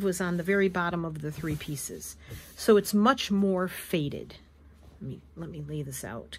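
Hoarse, chatty woman crafter. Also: lowish voice. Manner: chatty, rambling, self-correcting. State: hoarse and wheezy from allergies. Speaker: craft video host. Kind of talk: casual show-and-tell chat. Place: indoors at a craft table. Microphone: camera above a tabletop, speaker off frame.

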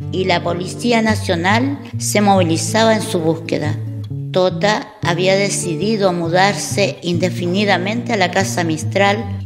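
A woman's voice: medium pitch, lightly acted. A woman narrating the story of a radio drama from a script. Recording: long reverb, music underneath.

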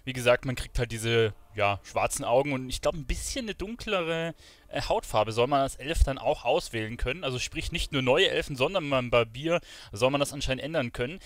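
Male game streamer, dry close sound, informal and chatty, thinking out loud as he plays. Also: mid-range voice, lively intonation, a little fast, no room sound. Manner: informal, colloquial, thinking aloud. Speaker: streamer playing an online role-playing game. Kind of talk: casual gameplay commentary.

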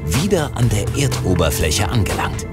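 Narrator for crime spoof radio play: low, solemn, dramatic, loud music bed. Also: male voice, sing-song, moderate pace. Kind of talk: scripted narration.

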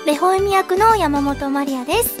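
A woman's voice: high voice, brisk, music in the background. A voice actress giving a cheerful cast comment, tripping over her words, in a recording studio.

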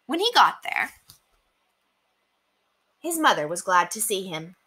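A young woman reading children's stories aloud as an expressive storyteller. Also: medium pitch, takes it slowly, breathy edge. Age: young adult. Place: small indoor room.

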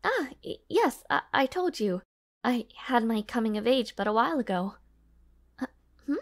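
A woman's voice: a little high, big pitch swings, moderate pace. A woman playing a devoted young maid of noble birth in an audio roleplay, speaking politely and formally, with shy hesitations. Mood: bright, flustered. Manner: polite, soft-spoken, flustered hesitations, formal diction. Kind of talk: audio roleplay addressed to the listener.

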